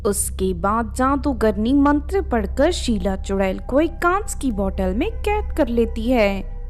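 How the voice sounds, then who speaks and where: A woman narrates a children's tale in a high, very animated voice. Her pace is slow and deliberate, as a scripted storyteller.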